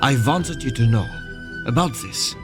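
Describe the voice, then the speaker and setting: A man's voice: medium pitch, wildly swooping pitch, normal speed, over loud music. An actor playing an earnest military captain and devoted father in an audio drama. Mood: urgent, burdened.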